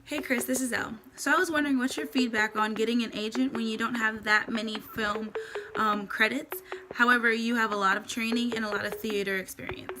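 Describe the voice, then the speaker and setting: A woman with a very bright, fairly high voice asks a question in a casual way. It sounds self-recorded, with a faint layer of noise. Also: fairly even intonation, brisk, rough recording. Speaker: actor sending in a question.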